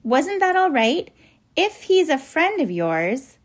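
A woman's voice: fairly high pitch, very animated, moderate pace, a little mellow, husky, pitched above the performer's own voice, heavily acted. A woman voicing an innocent, hesitant young girl in a children's storybook, artless and questioning in a small voice. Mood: anxious, puzzled.

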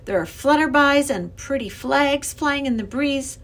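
A woman voicing an earnest, excitable young girl who pleads and insists, in an acted children's storybook reading. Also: sits high, measured pace, pitched above the performer's own voice. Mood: coaxing.

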